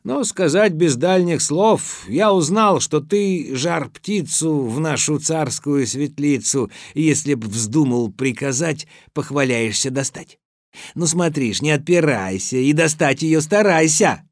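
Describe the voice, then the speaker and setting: A man's voice: on the high side, expressive, a little slow, no room sound, clean studio sound. A man voicing a boastful, bullying, bearded old tsar in a verse fairy tale, imperious and given to angry outbursts. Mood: menacing.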